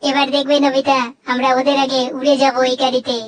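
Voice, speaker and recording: A woman's voice: high voice, monotone, quick pace, lightly acted. A woman voicing a childlike robot cat companion in a cartoon dub, touchy, stubborn and scolding. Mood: bossy, confident.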